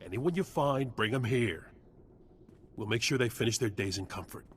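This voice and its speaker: A man's voice: mid-range voice, animated, moderate pace, a little hiss or hum, natural voice. An actor playing a hearty, earnest military officer in a video game, folksy and loyal to his soldiers.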